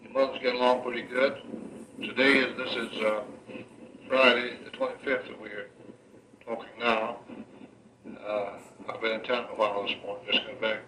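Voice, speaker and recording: A man's voice: mid-range voice, little pitch movement, normal speed, long pauses. An older man talking casually in a folksy, rambling way, as in a taped letter to his family. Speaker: grandfather recording a tape letter to family. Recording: old home reel tape, echoey, faint noise.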